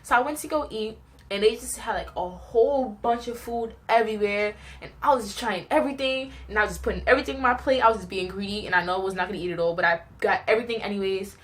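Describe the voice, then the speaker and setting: A young woman tells personal stories in a casual, chatty vlog style, animated and giggly as she acts out little reactions. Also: medium pitch, fast, a little hiss or hum. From New York, United States. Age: young adult. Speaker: vlogger telling personal stories.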